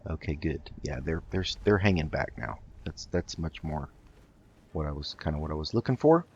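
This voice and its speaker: A man with a low voice hosts a roleplay gaming session. He talks casually and hesitantly, thinking through his moves as he goes. The sound is a little dull, like a modest home recording. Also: animated, normal speed, a little hiss or hum. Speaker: host of a video game roleplay let's play. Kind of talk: casual gameplay commentary.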